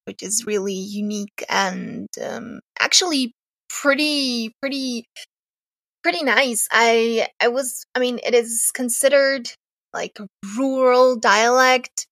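A woman's voice: fairly high pitch, a bit bright, no room sound. A young woman from Serbia, a native Serbian speaker, chatting as a podcast guest, hesitant and often laughing. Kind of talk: casual interview chat.

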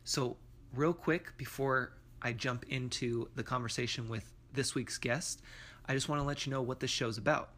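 A man's voice: mid-range voice, fairly even intonation, normal speed, fairly bright tone. A man hosting an entrepreneurship podcast, speaking in an upbeat, conversational way and encouraging his guest. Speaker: podcast host. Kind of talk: podcast intro and interview.

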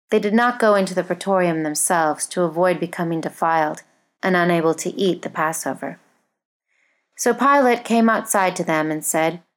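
A woman reading a Bible narrative aloud in a measured, formal and solemn style. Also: fairly low pitch. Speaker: scripture reader.

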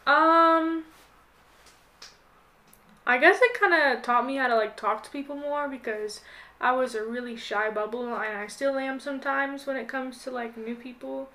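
A woman's voice: high-pitched, moderate pace, sharp tone. A young American woman in her late teens, chatting casually as a podcast guest in a hesitant, rambling way with lots of "like". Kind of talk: casual chat.

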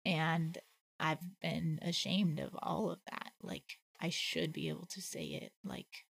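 A woman's voice: low-pitched, steady tone, a little slow, gravelly, breathy edge. A woman co-hosting a casual faith conversation, reflective and thinking aloud with many "like" fillers. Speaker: podcast co-host.

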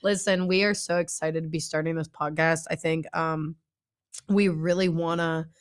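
A woman's voice: low voice, steady tone, moderate pace. A woman in her twenties, a youth pastor co-hosting a podcast in easy slangy chat with many fillers. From Northeast Ohio. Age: 20s. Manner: laid-back slangy talk, many fillers. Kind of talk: casual chat.

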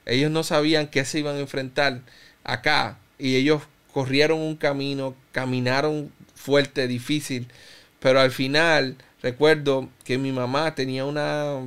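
A man in his thirties, born in Cuba, with a Cuban Spanish accent softened by years in Puerto Rico, giving a personal testimony in a halting, reflective way with many fillers and restarts. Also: medium pitch, little pitch movement, clear, resonant tone. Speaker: interview guest.

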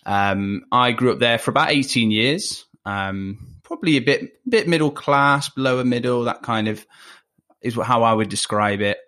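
A man's voice: medium pitch, normal speed, a bit bright. A man in his twenties with a Leicester accent, a podcast guest chatting casually from home and rambling with lots of ums and wry asides. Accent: Leicester English. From England. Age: 20s. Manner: rambling, full of ums and self-deprecating asides. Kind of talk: casual interview chat. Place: home, remote call.

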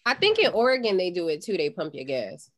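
A woman in her thirties co-hosts a relaxed chat podcast. Her voice sits in the middle but moves a lot, rising and falling as she talks. Her banter is slangy and informal. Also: on the low side, fairly quick. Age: 30s. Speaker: podcast co-host.

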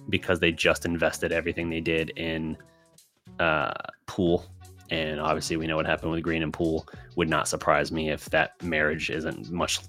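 A man hosting a solo sports podcast, thinking aloud as he answers listener questions, his voice getting scratchy and raspy. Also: rumbling low, a bit bright. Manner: thinking aloud, with restarts and fillers. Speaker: podcast host.

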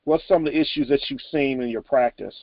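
An American man hosting a casual interview, asking questions in a halting, informal way. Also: mid-range voice, creaky, no echo, muffled recording. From Texas, United States. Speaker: interviewer, host.

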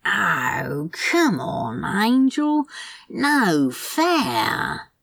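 A woman's voice: medium pitch, wildly swooping pitch, very slow, vocal fry, a bit bright, dry recording, clean studio sound, heavily acted. A woman voicing a fond, prickly male demon in a story, drawling and hesitant, easily exasperated. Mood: flustered, exasperated.

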